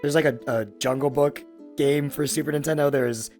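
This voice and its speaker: A man in his thirties with a raspy voice, chatting casually and in a rambling, halting way as a podcast host. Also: a little high, steady tone, a little mellow, soft background music. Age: 30s.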